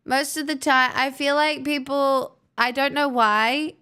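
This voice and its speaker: A woman's voice: high-pitched, fairly even intonation, unhurried, bright, forceful tone. A woman hosting a livestream, chatting casually with viewers in a playful, teasing way. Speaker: livestream host.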